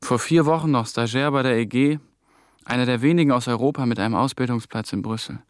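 A man's voice: medium pitch, fast, dry recording, studio quality, natural voice. An actor playing a young intern on the run, telling his story in clipped, brooding phrases for a radio drama. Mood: brooding, tense. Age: young adult. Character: ambitious young German intern, hunted and haunted. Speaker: lead actor and narrating protagonist.

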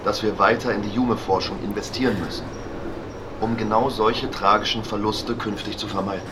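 A solemn male researcher played by an actor gives a formal statement in a slightly low voice. It comes from a distance through heavy noise, like news playback in a radio play. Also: steady tone, a little fast, lightly acted. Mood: solemn, resolute. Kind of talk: formal spoken statement in audio drama.

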